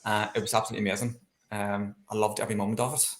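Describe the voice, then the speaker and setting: A man's voice: on the low side, level tone, racing. A man with a Northern Irish accent telling personal stories as an interview guest, chatty and enthusiastic. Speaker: interview guest, landscape painter. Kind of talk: casual interview, personal storytelling. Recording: echoey, roomy sound.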